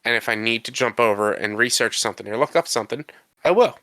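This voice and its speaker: Congested podcast co-host man, bright crisp tone, rambles and defends himself. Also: mid-range voice, expressive, quick pace, no echo. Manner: rambling, defensive, restarts often. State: congested from a cold. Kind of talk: casual chat.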